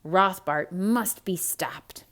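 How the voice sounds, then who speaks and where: A woman reading a storybook aloud to children in a theatrical, expressive way, with hushed asides to young listeners. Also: fairly low pitch, extremely slow.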